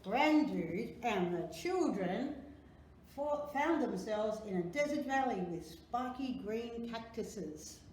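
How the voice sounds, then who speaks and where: Woman, children's story reader, expressive, unhurried delivery, very reverberant room, faint background noise. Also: a little high. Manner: expressive, dramatic storytelling with drawn-out words. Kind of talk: animated children's picture book reading.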